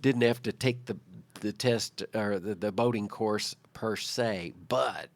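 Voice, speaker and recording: A man's voice: fairly low pitch, a little slow, no echo. A middle-aged man, chatting casually on a talk show in a folksy, conversational way. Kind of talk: casual chat.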